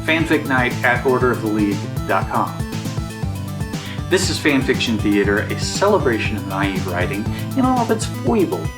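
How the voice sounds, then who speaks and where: A woman with a very deep voice hosts at an unhurried pace, wry and mock-serious. Plucked guitar plays clearly behind her as she moves between prepared intro and joking asides. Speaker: podcast host. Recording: music playing behind the voice. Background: plucked guitar music.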